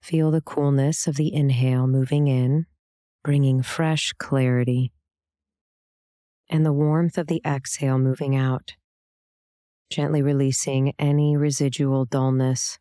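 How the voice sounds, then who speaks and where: A woman leading a guided meditation, giving calm, gentle, soothing instructions with pauses between phrases. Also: very deep voice, smooth, dry recording, studio quality. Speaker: meditation guide, yoga teacher.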